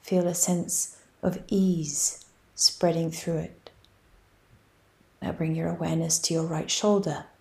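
A woman guiding a yoga nidra meditation, speaking softly and evenly with long pauses. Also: low-pitched, creaky. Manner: slow, soft and even, with long pauses. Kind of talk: guided meditation.

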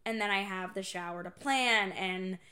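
A young woman co-hosting a podcast in casual chat, her voice a bit hoarse and raspy, bubbly and giggly with rambling asides. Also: mid-range voice, moderate pace, pushed and bright. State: hoarse, slightly raspy from dry air. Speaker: podcast co-host.

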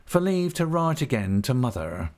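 A man's voice: medium pitch, big pitch swings, moderate pace, a little mellow, pitched above the performer's own voice, lightly acted. A man reading an audiobook, voicing a falsely humble, scheming young male clerk with an oily, cringing manner. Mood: whining. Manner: oily, cringing humility with a whining edge. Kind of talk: audiobook character voice.

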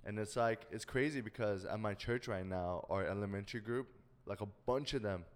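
A man's voice: on the low side, brisk, clear, resonant tone, big-room sound. A young man co-hosting a casual podcast chat, slangy and full of fillers, with lots of "dude" and "you know what I mean.". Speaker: podcast co-host.

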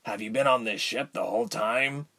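A man voicing a devoted male prisoner in an audiobook story, quiet and earnest in manner. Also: mid-range voice, big pitch swings, moderate pace, pitched above the performer's own voice. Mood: moved, tender. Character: devoted male captive, quiet, thoughtful, teasing.

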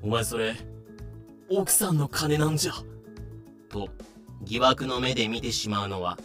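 A man's voice: mid-range voice, lively intonation, measured pace, a bit bright, soft background music. A man reading scripted comedic narration for a voice drama, explaining things with dry, sarcastic asides.

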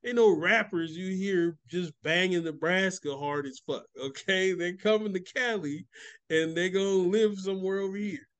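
A man with a notably high voice chats on a casual podcast. He tells stories loosely and swears freely. He often acts out what other people said. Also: normal speed, smooth. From California. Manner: profane, storytelling with acted-out quotes. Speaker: podcast co-host. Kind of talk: casual chat.

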